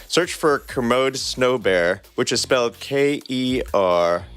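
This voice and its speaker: A man hosting a podcast and narrating fan fiction in a playful, lively way. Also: lowish voice. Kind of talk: reading fan fiction aloud.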